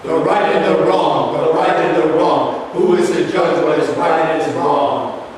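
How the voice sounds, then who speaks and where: A man's voice: fairly high pitch, measured pace, a little hiss or hum, lightly acted. Echoey man as a questioning play chorus. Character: questioning chorus chanting a moral refrain. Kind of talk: repeated verse refrain in staged reading. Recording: very echoey hall, far mic, crowd sound.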